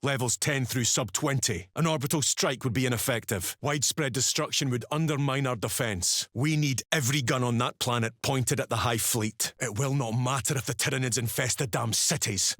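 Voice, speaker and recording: An actor playing a stern space marine captain in a war video game, giving clipped, authoritative orders in a formal military manner. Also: male voice, medium pitch, moderate pace, few pauses, a bit bright, no room sound, studio quality. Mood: stern, commanding. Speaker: military commander. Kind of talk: dramatic video game voice acting.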